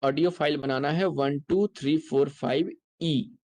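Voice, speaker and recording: An instructor with an Indian accent giving a software tutorial lecture, explaining step by step and repeating points. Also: mid-range voice, steady tone, unhurried.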